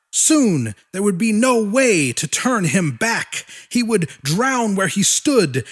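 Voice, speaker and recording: A man reading an audiobook as its narrator, in a dramatic storytelling style. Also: sits high.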